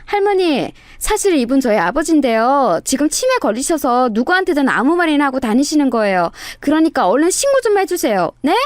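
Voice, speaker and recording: A woman voicing a loud, scheming daughter-in-law who scolds theatrically in a dramatized story. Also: sits high, big pitch swings, fairly quick, few pauses, no room sound. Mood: exasperated.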